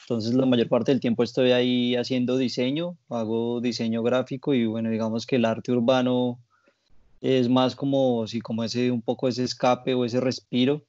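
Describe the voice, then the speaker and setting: A man with a Colombian accent answering as a guest in a casual interview, reflective and softening what he says. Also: medium pitch, level tone, moderate pace, dry recording, highs cut off. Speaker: interview guest, graphic designer and muralist.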